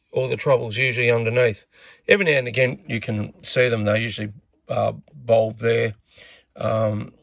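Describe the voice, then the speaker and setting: An Australian man talks through hands-on repair work in a casual, unscripted way. His voice sits at an average pitch with plenty of fry and gravel. He thinks aloud hesitantly, like a hobbyist showing the job as he goes. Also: dry recording. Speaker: radio repair hobbyist presenter.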